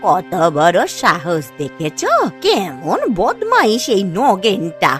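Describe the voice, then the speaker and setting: A woman voicing a kindly old village granny in a cartoon story, with slow, drawn-out, motherly speech. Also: mid-range voice, wildly swooping pitch, soft background music. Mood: indignant. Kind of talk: Bengali cartoon story acting.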